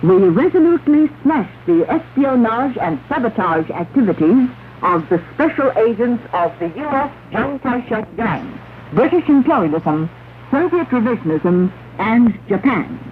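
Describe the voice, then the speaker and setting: A woman radio announcer reading 1960s propaganda news in a formal, declamatory way with deliberate phrasing. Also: fairly high pitch, very animated. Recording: scratchy 1968 shortwave radio, narrow band, hiss.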